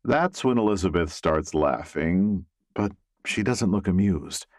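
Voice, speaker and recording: A man reading a first-person novel as an audiobook narrator, in an expressive storytelling style. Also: low-pitched, unhurried, no room sound, clean studio sound.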